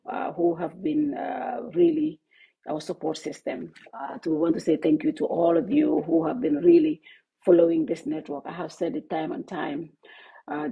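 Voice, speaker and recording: Low husky woman, halting live-stream talk. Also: mellow, low-quality recording. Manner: halting, many fillers and restarts. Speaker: host of an online news network. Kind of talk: casual live-stream address to followers. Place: office. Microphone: Bluetooth headset.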